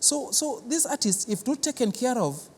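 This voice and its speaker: A man's voice: extremely high pitch, sing-song, normal speed. A man with a Kenyan accent giving unscripted interview answers as a cultural centre guest, earnest and explanatory, often repeating words. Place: large reverberant theatre building. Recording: echoey hall, clear background noise.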